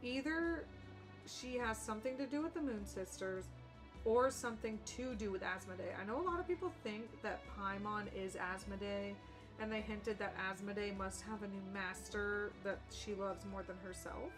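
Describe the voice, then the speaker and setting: A woman's voice: mid-range voice, normal speed. Young woman chatting casually over game music. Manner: chatty, opinionated, slangy. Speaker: game streamer. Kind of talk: casual live gaming commentary.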